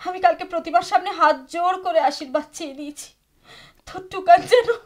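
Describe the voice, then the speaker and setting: An actress playing a sorrowful married woman longing for a lost love in an audio drama, with an emotional, halting delivery. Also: extremely high pitch, a little mellow. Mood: urgent, resigned. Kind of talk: Bengali audio drama acting. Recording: music behind the voice. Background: music with woodwind.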